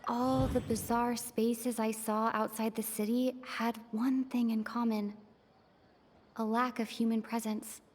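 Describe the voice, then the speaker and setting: A woman's voice: a little high, level tone, a little slow, a little mellow, a little hiss or hum, lightly acted. Woman as a pensive young traveler in heavy reverb. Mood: pensive, realizing. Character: pensive young female traveler reasoning out mysteries. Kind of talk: video game voice acting. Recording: long reverb on the voice.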